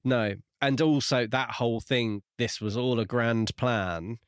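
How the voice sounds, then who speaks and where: A man's voice: mid-range voice, steady tone, measured pace, clean tone, no echo, clean studio sound. A man co-hosting a book podcast, discussing his views in a casual, conversational way. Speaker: podcast co-host reviewing books.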